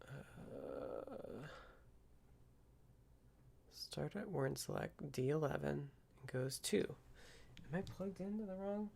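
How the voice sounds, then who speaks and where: A man hosting a casual live coding stream, talking haltingly and half muttering to himself as he works. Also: a little high, very animated, gravelly, a little mellow, slight background noise. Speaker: livestream host, programmer. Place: indoors at a desk with computer.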